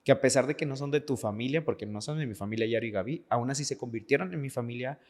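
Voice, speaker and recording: A man's voice: mid-range voice, quick pace. A Mexican man of about thirty speaking earnestly and personally in a podcast conversation, repeating words for emphasis. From Veracruz, Mexico. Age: late 20s to 30s. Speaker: podcast guest, dancer. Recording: echoey hall-like reverb.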